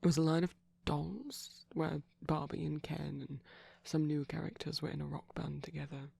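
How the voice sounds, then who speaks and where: A man playing a shy, gentle vampire boyfriend in an intimate ASMR roleplay, soft-spoken, breathy and creaky, rambling hesitantly and dipping toward whispers. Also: fairly high pitch, steady tone, normal speed, no room sound, heavily acted. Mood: rambling, gently teasing.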